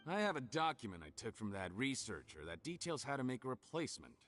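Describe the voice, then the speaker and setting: Scheming male convict, bright forceful voice, cynical, condescending, video game villain, faint music behind. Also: mid-range voice, very animated, fast. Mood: calculating. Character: cynical, self-serving scheming escaped convict man with disfigured face. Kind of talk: video game dialogue acting.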